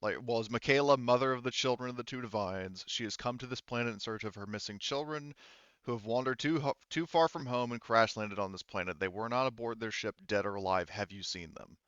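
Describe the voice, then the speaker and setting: A man with a smooth, clear voice runs a tabletop game in conversational style. He explains and qualifies as he goes, informal throughout. The track is dry and a little dull. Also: medium pitch, a little fast, fairly bright tone, dry recording. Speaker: game master. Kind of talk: casual tabletop game narration.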